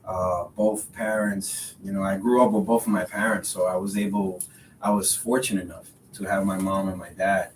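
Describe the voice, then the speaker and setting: Low-voiced man, echoey and distant. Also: level tone, moderate pace. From Dominican Republic. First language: Spanish. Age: 30s. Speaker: podcast guest, realtor. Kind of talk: casual interview conversation. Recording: roomy echo, distant mic, faint rumbling noise.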